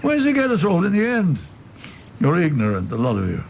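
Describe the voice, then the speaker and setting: A man acting a tipsy, bitter, apologetic middle-aged British doctor in a radio drama, rambling and halting in a gravelly voice. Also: a little high, wildly swooping pitch, a little hiss or hum, heavily acted, poor audio. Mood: bitter, ranting. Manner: rambling, halting, swinging from ranting to meek.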